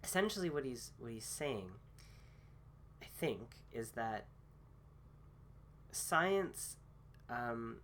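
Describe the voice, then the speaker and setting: A man at middling pitch talks slowly through a casual philosophy talk, his voice a bit rough from a cold he is getting over. He hesitates often, filling gaps with ums and starting phrases twice. A faint hum sits behind him. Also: expressive, a bit bright. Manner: slow, hesitant, many fillers and restarts. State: recovering from a cold. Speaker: host.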